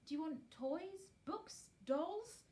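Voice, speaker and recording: A woman voicing a weary, troubled gentleman guardian in a storybook, speaking formally and with emphasis. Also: extremely high pitch, animated, takes it slowly, pitched above the performer's own voice, exaggerated voice. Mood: startled, kindly.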